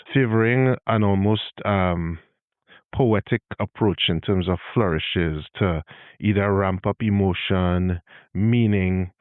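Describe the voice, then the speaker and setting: Deep-voiced Trinidadian man hosting a podcast. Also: dry recording, phone-quality sound. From Trinidad and Tobago. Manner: self-deprecating, wry asides. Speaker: podcast host. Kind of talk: solo podcast monologue.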